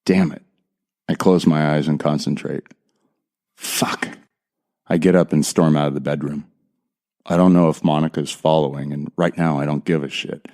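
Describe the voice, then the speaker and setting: A man's voice: extremely low pitch, steady tone, normal speed, long pauses, darkish tone. An actor playing a tense, bitter man who narrates his horror ordeal in a brooding, profane first person. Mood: angry. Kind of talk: scripted audio drama narration. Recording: music behind the voice.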